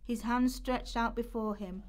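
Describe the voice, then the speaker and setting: A woman's voice: fairly high pitch, fairly even intonation, a little slow, a little mellow. A woman reading scripted literary narration as a storyteller in a radio play.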